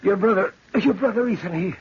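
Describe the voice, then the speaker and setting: A man's voice: high voice, a little fast, darkish tone, breathy edge, muffled recording, full character voice. An actor playing a flustered, stammering Irish workman in 1940s radio drama, on a noisy old recording. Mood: groggy, aggrieved. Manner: stammering, repeating himself. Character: Joe, flustered superstitious Irish kiln fireman.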